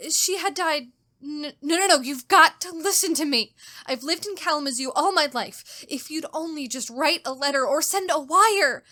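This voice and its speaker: A woman's voice: high-pitched, normal speed, pushed and bright. An actress playing a terrified, genteel captive young woman in a suspense drama, with a faltering, melodramatic delivery. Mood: pleading. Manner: faltering, repeats words, melodramatic. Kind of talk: old-time radio style suspense drama, diary monologue.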